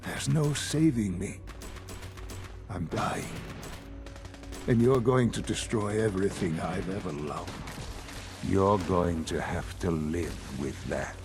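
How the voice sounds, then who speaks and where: A bitter old man at the end of his life, low-voiced and unhurried. He is cold, measured and reproachful, as a video game figure, with clear music behind him. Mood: bitter, resigned. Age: older. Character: bitter dying old man, estranged son, cold and resigned.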